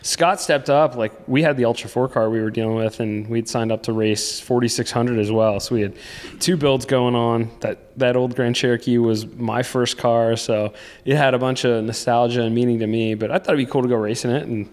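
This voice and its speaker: A man in his late thirties from the US East Coast, a racing guest recounting team history in animated, casual talk. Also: mid-range voice, brisk, in a large hall. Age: 30s. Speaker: guest, off-road racer. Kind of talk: casual chat.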